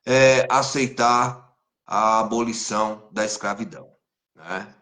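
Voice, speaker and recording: A man from Brazil, a capoeira master hosting a live interview on a video call, speaking in a slow, deliberate, fatherly way. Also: on the low side, pushed and bright, reverberant.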